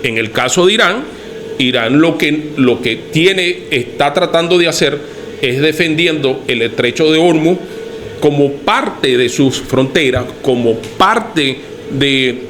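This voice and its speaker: A man's voice: on the high side, animated, slow pace, a bit bright. A Venezuelan man talking earnestly on a podcast, thinking aloud and restarting his phrases. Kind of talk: casual podcast discussion.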